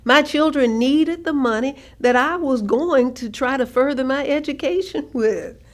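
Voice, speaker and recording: Proud, defiant working mother played by an actress, high-pitched, very slow, deliberate emphasis, staged-reading monologue. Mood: proud, resolute. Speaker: stage actress performing oral history.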